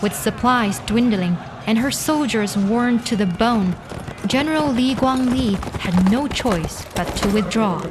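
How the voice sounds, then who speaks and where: A woman narrating a scripted drama in a measured, solemn storytelling manner. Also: medium pitch, background noise.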